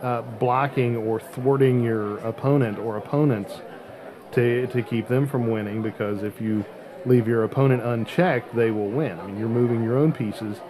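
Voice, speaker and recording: A man hosting a casual game chat, explaining things in a wordy, precise, halting way. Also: medium pitch, crowd noise. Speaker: host of a hobby game podcast.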